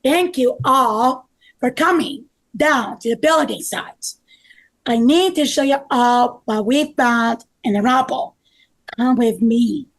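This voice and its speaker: A woman's voice: fairly high pitch, takes it slowly, low-quality recording. A woman playing an earnest, encouraging male builder in charge, in a table read of a children's story. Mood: serious.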